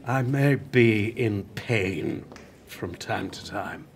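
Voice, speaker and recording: Older male writer near death, prickly and pained, slow drawn-out lines for a radio drama, played by a man. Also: fairly low pitch, a little hiss or hum. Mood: bitter. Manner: bitter, guarded, slow and deliberate. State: in pain. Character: dying elderly male TV writer, prickly and proud. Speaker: dying TV writer. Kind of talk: radio play acting.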